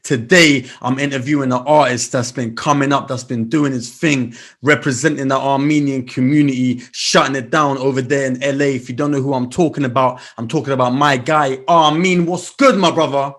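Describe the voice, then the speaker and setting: Hype London radio host. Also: male voice, mid-range voice, fairly even intonation, fairly quick, hardly any pauses, a bit bright. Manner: hype, calls guest "my brother" and "my guy". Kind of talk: hip hop radio interview.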